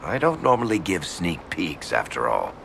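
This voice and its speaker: A man's voice: low-pitched, huge pitch swings, moderate pace, gravelly, a bit bright, slight background noise, heavily acted. A man playing a wry, loyal rogue storyteller in a video game, sardonic and casual with a theatrical streak. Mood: smug, amused. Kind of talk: video game character acting.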